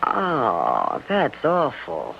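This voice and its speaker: Pushy, scheming wife, gravelly and animated. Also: female voice, rumbling low, extremely slow, heavily acted. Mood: falsely sympathetic. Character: sharp, pushy, scheming wife covering up a secret. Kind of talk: radio mystery drama acting. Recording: dull lo-fi radio recording with hiss.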